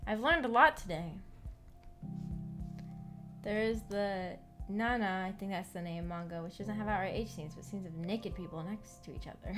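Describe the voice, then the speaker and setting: A giggly woman hosting a book club stream, chatting casually with viewers and musing out loud. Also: mid-range voice, moderate pace, music in the background. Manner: musing, thinking aloud.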